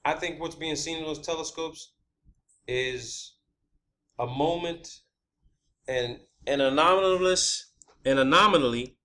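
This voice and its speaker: Bright-voiced man explaining emphatically. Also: a little high, slow, pauses often. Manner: emphatic, repeats phrases for stress. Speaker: video channel host. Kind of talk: unscripted explanatory monologue.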